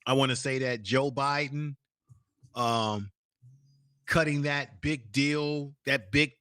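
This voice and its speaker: A man's voice: mid-range voice, slow, sharp tone, no room sound, clean studio sound. A man hosting a live stream, giving a heated, profane political rant. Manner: profane, angry, repetitive ranting.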